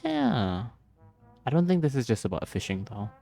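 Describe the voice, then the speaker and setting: A man hosting a casual game playthrough, reacting in short, soft-hearted remarks. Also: medium pitch, wildly swooping pitch, moderate pace, vocal fry, faint music behind. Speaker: gaming video host.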